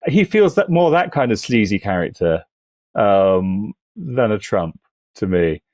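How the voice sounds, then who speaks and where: Muffled, animated man thinking aloud. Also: fairly low pitch, a little slow, no echo. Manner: tentative, searching, self-correcting. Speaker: podcast panellist. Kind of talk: casual analytical discussion.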